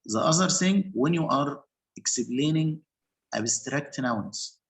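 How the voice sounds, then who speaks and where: Measured man explaining teaching steps. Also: on the high side, lively intonation. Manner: measured, explanatory, with pauses and restarts. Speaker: English teacher. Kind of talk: online panel discussion.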